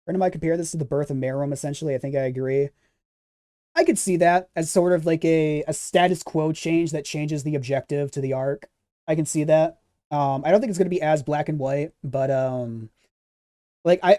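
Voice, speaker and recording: Stammering streamer, mellow, very dry sound. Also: medium pitch, no echo. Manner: hesitant, stammering repetition of words while thinking aloud. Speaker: host of a manga discussion stream. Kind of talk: casual theory chat, responding to live comments.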